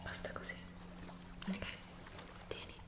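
A woman, speaking soothingly in a breathy whisper in an ASMR roleplay. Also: echoey room. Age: 20s. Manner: soft, soothing, unhurried. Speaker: ASMR creator.

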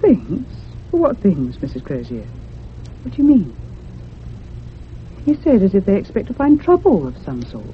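An actress playing an anxious, curious woman in a radio drama, speaking in a measured, literary and expressive way. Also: mid-range voice, a little hiss or hum. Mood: probing. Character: anxious, curious convalescent unmarried woman narrating a haunting. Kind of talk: radio drama acting and narration. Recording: muffled, phone-like old radio sound.

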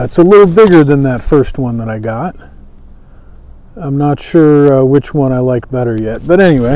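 A man in his thirties giving a casual, unhurried, folksy show-and-tell as an instructor. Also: mid-range voice, mellow, rough recording. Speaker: bushcraft instructor and presenter. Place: outdoors at a table on a lawn by woods.

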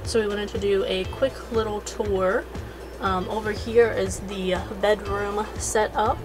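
A woman's voice: mid-range voice, steady tone, a little slow, over music. A woman around her thirties talking casually to the camera as a travel vlogger. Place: inside a car.

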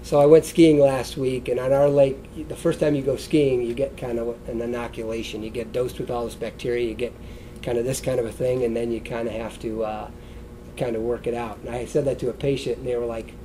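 A man's voice: medium pitch, little pitch movement, a little fast, mellow, slight background noise, rough recording. A middle-aged American man with a congested, sniffly voice gives an informal wellness lecture in a loose, conversational way. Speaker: chiropractor giving a wellness talk. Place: indoor stage beside a wooden lectern, seated.

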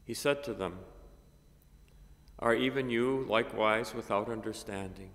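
An older man, a priest, reading a gospel and preaching a homily at a lectern microphone, measured and halting with many fillers. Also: fairly low pitch, fairly bright tone. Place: cathedral chapel, at a lectern. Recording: long reverb of a chapel.

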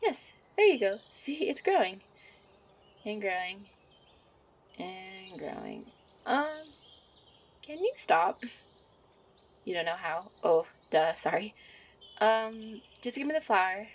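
A woman voicing a shy, sweet young fairy in an ASMR roleplay, with a soft, coy, flustered manner. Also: medium pitch, sing-song, takes it slowly, long pauses, heavily acted. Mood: encouraging. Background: birdsong and outdoor ambience.

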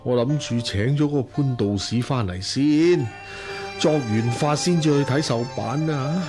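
An actor playing a doting, anxious wealthy husband in a radio drama, given to sighing. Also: male voice, mid-range voice, a little slow, music in the background, natural voice. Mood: worried. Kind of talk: Cantonese radio drama acting.

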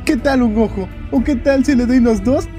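Casting: male actor as playful, unctuous anime demon, high male pitch, Latin American Spanish, syrupy courtesy. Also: normal speed, music in the background. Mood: mock sorrow. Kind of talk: anime fan dub acting.

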